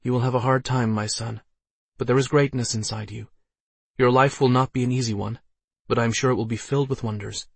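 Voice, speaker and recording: A proud sorceress and mother read by a man in a fantasy audiobook. She is imperious and commanding, with a cold certainty. The sound is dry and slightly husky. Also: mid-range voice, fairly even intonation, brisk, no echo. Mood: tender, resolute. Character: commanding, proud female archmage and ruthless mother. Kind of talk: audiobook character voice.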